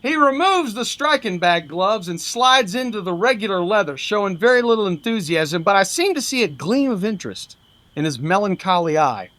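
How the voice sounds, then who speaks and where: A man's voice: sits high, very animated, moderate pace, fairly bright tone. A man reading a pulp humor story as a brash, wisecracking old-time boxing manager, punchy and declamatory. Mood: brash, bemused.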